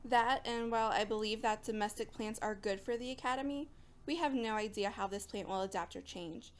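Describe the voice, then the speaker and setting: A woman playing a brisk, opinionated academy botany teacher in an audio drama, instructive and matter-of-fact. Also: medium pitch, lightly acted. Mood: explaining.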